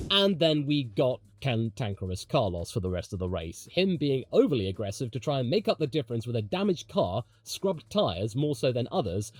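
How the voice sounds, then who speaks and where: A man's voice: on the high side, darkish tone, dry recording. A man with a British accent giving opinionated, slangy motorsport commentary straight to his audience, with emphatic storytelling.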